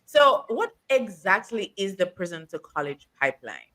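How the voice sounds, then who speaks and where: A woman's voice: medium pitch, sing-song, normal speed, a bit bright. A woman hosting a podcast interview, asking questions with easy laughs. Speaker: podcast host.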